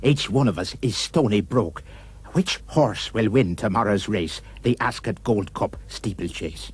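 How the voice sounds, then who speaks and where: A man's voice: fairly low pitch, unhurried, husky. A man voicing a penniless senior dwarf, pleading earnestly in rhyme in a puppet-show fairy tale. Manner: earnest rhyming plea.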